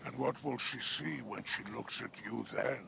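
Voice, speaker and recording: A man playing a sly, smug alien crime boss in a video game, with a gravelly voice and a theatrical, mocking drawl. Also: mid-range voice, moderate pace, noisy background. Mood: smug taunting. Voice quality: gravelly, creaky. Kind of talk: scripted video game dialogue. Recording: music behind the voice.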